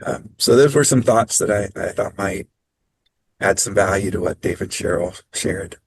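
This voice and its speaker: A man presenting on a video call training, speaking in a measured, practical way with frequent ums. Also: fairly low pitch, slightly rough, dry recording. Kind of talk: online training talk.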